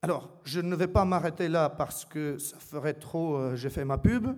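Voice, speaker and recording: A man from Albania addresses a public gathering in a somewhat high-pitched voice. He speaks off the cuff with wry irony, leaning on rhetorical questions and repetition. The sound carries the long reverb of a hall. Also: moderate pace. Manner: wry, ironic humour. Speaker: invited speaker. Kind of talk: public talk, semi-improvised.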